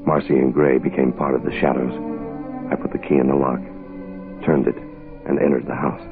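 An actor playing a terse private investigator, clipped and deadpan, in the style of 1960s radio noir. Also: male voice, very deep voice, fairly quick, creaky, music in the background. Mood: tense, deadpan. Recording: hissy, phone-like vintage radio recording.

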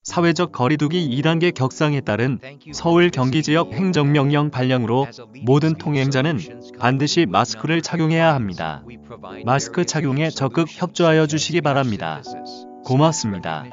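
A man's voice: on the high side, lively intonation, moderate pace, muffled recording, soft background music. A man formally reading a scripted public safety announcement.

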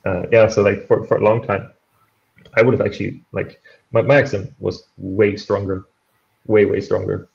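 A man's voice: fairly low pitch, little pitch movement, moderate pace, gravelly, a little mellow. German man, slight Irish lilt, rambling. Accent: German, with a slight Irish tinge. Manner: rambling, full of fillers like "um" and "like". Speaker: meetup participant. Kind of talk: casual video-call chat. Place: bright room at home with shelves, indoors. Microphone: headphones, laptop on a video call. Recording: dull lo-fi call audio.

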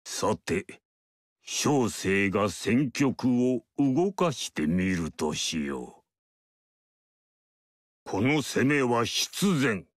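A lofty, aloof sage mystic. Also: male voice, on the low side, very animated, dry recording, studio quality, full character voice. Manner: measured, lofty archaic speech. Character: aloof male sage mystic, calm and superior. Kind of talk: game character voice lines.

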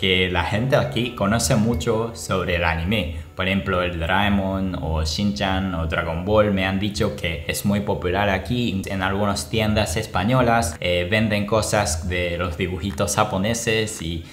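A young man from Japan with a Japanese accent, speaking non-native Spanish in a casual, expressive vlog style to camera. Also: low-pitched, measured pace, hardly any pauses. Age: young adult. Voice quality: non-native Spanish with small grammar slips. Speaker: vlogger. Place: small plain indoor room. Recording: roomy echo. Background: music.

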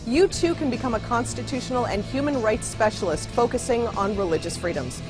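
A woman's voice: fairly high pitch, brisk, poor audio. A woman reading a promotional voice-over. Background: music.

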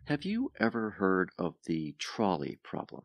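An actor playing an aloof male professor in an audio drama, speaking in a deliberate, dry, teacherly way. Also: sits low, wildly swooping pitch, no room sound, full character voice. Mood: measured, teacherly. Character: aloof, measured male professor and former researcher.

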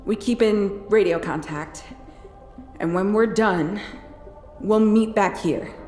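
A woman's voice: mid-range voice, slow pace, darkish tone, natural voice. An actress voicing a tough, distrustful female soldier in a video game, speaking in a terse, commanding and challenging way. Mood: curt, businesslike. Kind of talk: video game cutscene acting. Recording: echoey hall reverb, music behind.

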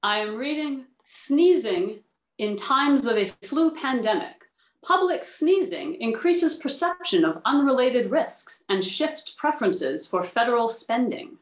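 Woman, higher pitch, formal research reader, roomy video-call sound. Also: animated, measured pace. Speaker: dramatic reader of research papers. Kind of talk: formal reading aloud and panel questions. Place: indoor room on a video call, using a tablet.

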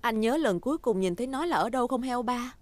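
A woman voicing a warm, reassuring mother pig in a dubbed children's cartoon. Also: a little high, lively intonation, quick pace, slight background noise, close to an everyday voice. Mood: amused, helpful.

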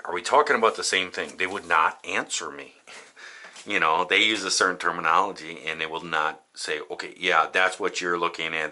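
Deep-voiced, tired, rambling man. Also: sharp tone. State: tired and achy. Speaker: renovation vlogger. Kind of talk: casual home-renovation vlog. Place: small bathroom under renovation, indoors.

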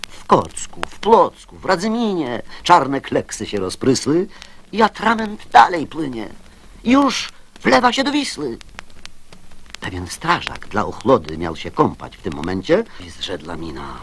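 A man recites comic children's verse in a theatrical radio style. His mid-pitched voice rises and falls dramatically at an unhurried pace. A faint noise lies under the recording. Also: huge pitch swings, fairly bright tone.

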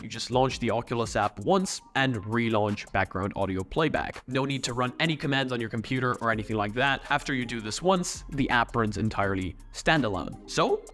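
A young man recording a tech tutorial in a small home studio, walking viewers through setup steps in a clear, explanatory way. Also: medium pitch, fairly bright tone. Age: young adult. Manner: explanatory, step-by-step instructions. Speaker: VR tech YouTuber. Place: small home studio room with neon light.

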